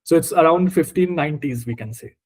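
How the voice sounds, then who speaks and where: Man, Indian accent, native Marathi speaker, fairly high voice, informal explanatory teaching, discursive word-by-word style. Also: fairly quick, a little mellow. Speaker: teacher of a scripture study group. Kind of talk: informal explanatory lesson with questions.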